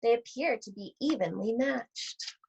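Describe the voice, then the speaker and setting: A woman reading a children's picture book aloud to schoolchildren in an animated, theatrical storytelling manner. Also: a little high, a little slow, gravelly, slight background noise. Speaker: read-aloud host at an elementary school. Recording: dull, lo-fi sound.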